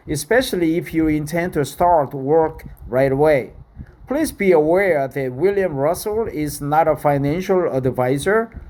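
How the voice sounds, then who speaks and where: Korean man in his fifties, slow halting English. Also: a little high, darkish tone. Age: 50s. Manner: halting, many "uh" fillers, careful non-native phrasing. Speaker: English learner reading an article aloud. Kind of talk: casual spoken practice, then slow reading aloud.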